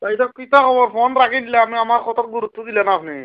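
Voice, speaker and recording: An aggrieved cattle buyer played by a man with a Sylheti accent, high in pitch and rattling along quickly. He nags and repeats his complaints like a customer in a comic prank call. The phone recording is muffled and lo-fi. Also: fairly even intonation, dry recording. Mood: complaining, pleading. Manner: insistent, complaining, repetitive. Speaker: prank caller.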